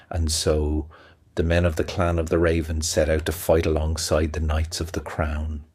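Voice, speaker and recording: A man narrating a fantasy audio play as a storyteller, with a measured, even delivery. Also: a bass voice, husky, no echo, clean studio sound. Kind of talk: scripted fairy-tale narration.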